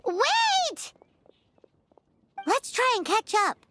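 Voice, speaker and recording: A woman playing a tiny, childlike fairy companion in a video game, squeaky, excitable and naive. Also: very slow, background noise, heavily acted. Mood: worried. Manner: excitable, exclamatory. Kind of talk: animated video game voice acting. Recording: music behind the voice.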